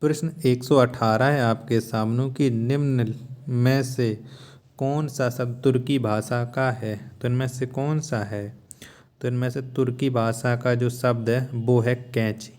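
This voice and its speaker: Slow-paced man teaching. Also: mid-range voice, steady tone, a little mellow. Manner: methodical, repeats key answers as rhetorical questions. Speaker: Hindi grammar teacher for exam prep. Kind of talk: lesson, reading out quiz questions and explaining answers.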